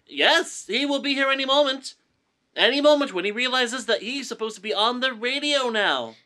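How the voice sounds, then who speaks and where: A woman's voice: on the high side, expressive, moderate pace, bright, forceful tone. A woman playing a flustered radio reporter in a comic radio play, stammering and repeating as they fill time on air. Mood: flustered, formal.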